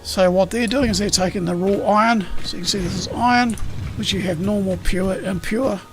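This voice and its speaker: Man, high voice, slightly croaky, rambling explainer, game music behind. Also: fairly quick. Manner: rambling, self-correcting, explanatory. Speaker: streamer. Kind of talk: casual gameplay explanation.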